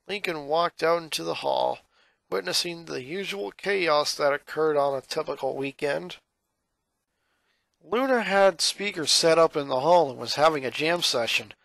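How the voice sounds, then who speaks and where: A man's voice: fairly high pitch, creaky, a bit bright, dry recording. A man reading a piece of fan fiction aloud as scripted story narration.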